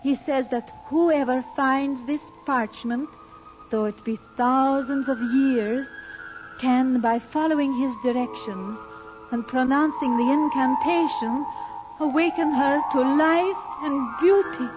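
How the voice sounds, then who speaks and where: An actress playing a solemn, fearful Egyptian woman, speaking slowly and gravely in old-time radio drama style. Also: sits high, soft background music. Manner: slow, measured, grave delivery. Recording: muffled, hissy old radio recording.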